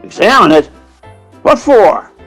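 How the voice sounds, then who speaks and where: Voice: mid-range voice, big pitch swings, measured pace, a bit bright, faint music behind. A performer playing a brash, colloquial theatrical agent who narrates and acts in an old-time radio comedy.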